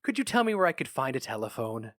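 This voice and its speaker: A man's voice: medium pitch, big pitch swings, quick pace, fairly bright tone, dry recording, studio quality. Man playing a mild, polite office newcomer. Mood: polite, unsure.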